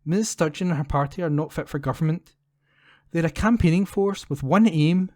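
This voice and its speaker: Mellow, higher-voiced man, dry sound. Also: moderate pace, no echo. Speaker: volunteer newspaper reader. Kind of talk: reading readers' letters aloud for a talking newspaper. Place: at home, indoors.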